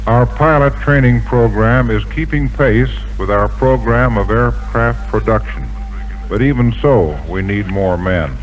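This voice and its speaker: Military general on dull 1940s radio recording. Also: male voice, medium pitch, unhurried, faint music behind. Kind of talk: formal prepared radio statement.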